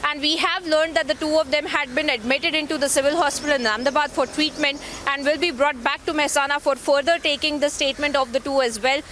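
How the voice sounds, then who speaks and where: A woman's voice: high voice, steady tone, quick pace, few pauses, fairly bright tone. A woman news reporter with an Indian accent giving a live report in long, run-on explanatory sentences. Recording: reverberant live link, background noise.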